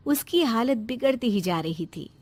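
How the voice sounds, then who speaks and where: High-voiced woman narrating a story. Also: expressive, moderate pace, darkish tone. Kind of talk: scripted moral-story narration.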